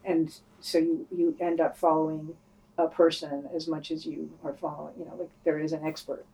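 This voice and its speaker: A woman's voice: low voice, little pitch movement, normal speed, mellow, low-quality recording. A woman talking informally and with opinion as a panelist in a casual podcast discussion, swearing freely.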